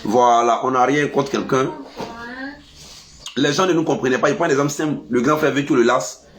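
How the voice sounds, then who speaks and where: A man with an Ivorian French accent and a head cold delivers a casual monologue. His voice swings up and down as he scolds, stuffy yet animated, at a middling pitch. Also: moderate pace. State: has a cold. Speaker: vlogger.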